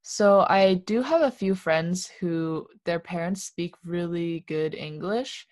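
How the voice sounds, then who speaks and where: Relaxed young woman, low dry voice. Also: slow, no room sound. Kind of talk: casual chat.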